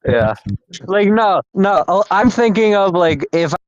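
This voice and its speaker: A man's voice: high voice, animated, unhurried, dry recording, highs cut off, faint music behind. A man hosting a casual podcast, rambling and repeating himself with lots of fillers. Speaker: podcast host.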